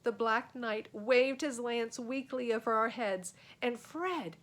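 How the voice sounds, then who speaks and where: A woman reading a children's book aloud as a storyteller, in an expressive, dramatic way. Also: a little high, slow. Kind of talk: animated children's book narration.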